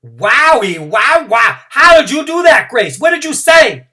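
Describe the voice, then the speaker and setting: A woman voicing a bouncy, bossy kindergarten girl who calls herself captain, talking loudly and boastfully. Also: low voice, very animated, slow pace, far from the mic, exaggerated voice. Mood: amazed. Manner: exuberant, loud, boastful.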